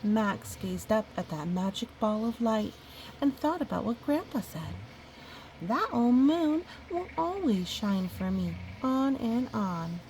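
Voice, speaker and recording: A woman reading a children's picture book aloud, gently, breaking off for musing, chatty asides to a young listener. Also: fairly high pitch, very animated, slow, a little hiss or hum, rough recording. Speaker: storybook reader.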